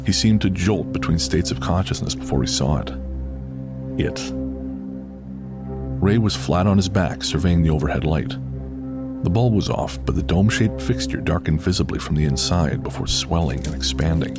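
A man's voice: low-pitched, lively intonation, moderate pace, loud music behind the voice. A man narrating a scripted horror audio drama as storyteller.

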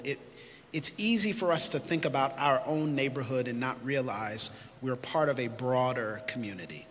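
Guy hosting a conference panel, bright voice, hyping the crowd, echoey hall. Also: mid-range voice. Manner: preacherly, rallies the audience. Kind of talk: live panel moderation. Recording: echoey hall, faint noise.

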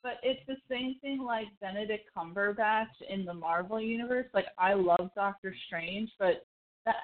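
A woman's voice: mid-range voice, normal speed. Opinionated woman co-host, narrow phone-like sound. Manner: informal, opinionated, conversational. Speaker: podcast co-host. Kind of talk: casual opinionated chat about films. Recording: thin, phone-like band-limited audio.

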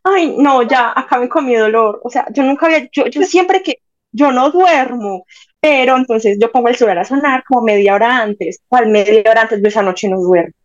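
A young woman from Colombia, a podcast guest chatting casually and telling animated stories. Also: a little high, moderate pace, highs cut off. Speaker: podcast guest, Instagram page admin. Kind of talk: casual chat.

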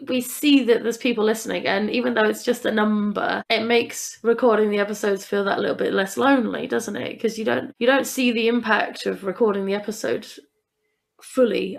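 A woman's voice: medium pitch, vocal fry. A woman chatting casually and informally as a podcast co-host.

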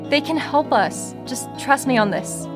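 Hopeful young daughter, higher female voice. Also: animated, a little slow. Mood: earnest, hopeful. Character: trusting, hopeful young daughter of a wary survivor. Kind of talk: audio drama acting. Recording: music under the voice.

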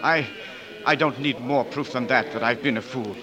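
An actor playing an excitable, contrite and courteous rival, formal and flustered, in a 1940s radio drama. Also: male voice, medium pitch, normal speed, natural voice. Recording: old radio broadcast, outdoor crowd and sheep.

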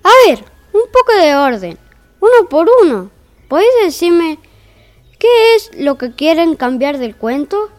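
A woman's voice: high-pitched, sing-song, a little slow, darkish tone. A woman playing an exasperated, bossy narrator in a comic fairy-tale audio drama. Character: exasperated, bossy storyteller wrangling unruly characters.

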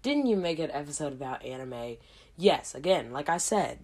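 A woman hosting a casual solo podcast, hoarse from a cold, rambling in a slangy way with lots of asides. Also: deep voice, expressive, moderate pace, a little mellow. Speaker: podcast host.

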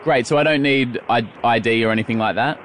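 Australian man hosting, mid-pitched and rambling. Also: quick pace, a bit bright, a little hiss or hum. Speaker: podcast and radio host. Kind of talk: casual comedic chat.

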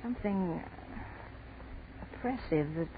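An actress playing an anxious young college student in a 1940s radio horror drama, speaking haltingly and uneasily. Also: female voice, medium pitch, animated. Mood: frightened. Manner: hesitant, halting, breathless unease. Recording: noisy, tinny 1940s radio broadcast.

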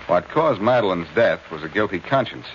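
An actor playing a confident, wisecracking amateur detective in a breezy 1940s radio drama manner. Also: male voice, low voice, animated, brisk. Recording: muffled, faintly noisy old radio recording.

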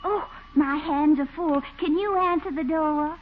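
A woman's voice: sits high, unhurried. An actress playing an admiring, sweetly teasing lady shop partner in a 1940s radio comedy. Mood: admiring, coy. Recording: muffled, slightly noisy old radio recording.

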